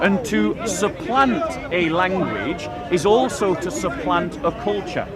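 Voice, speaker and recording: A man's voice: high-pitched, lively intonation, unhurried. A man in an impromptu religious street debate outdoors, arguing insistently and repeating himself to press his point. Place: outdoors at a public speakers' corner. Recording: outdoors amid loud crowd chatter.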